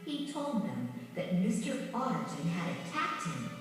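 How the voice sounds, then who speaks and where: A woman reading a scripted children's story in a measured, dramatic storytelling manner. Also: fairly high pitch, very animated. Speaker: storybook narrator. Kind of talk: scripted children's read-along narration. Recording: very echoey, distant, music behind. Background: music.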